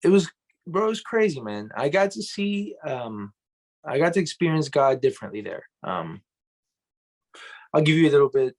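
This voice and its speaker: A young adult man from Colombia, a pastor and music minister, telling stories in a loose, animated way on an interview show. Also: medium pitch, gravelly, poor audio. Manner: loose and animated, says "man" and "bro" a lot. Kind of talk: casual interview storytelling.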